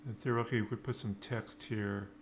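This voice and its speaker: A man giving an informal live-coding tutorial, thinking aloud in halting, piecemeal phrases. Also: lowish voice, fairly even intonation. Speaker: programming tutorial instructor. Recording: noticeable background noise, keyboard typing.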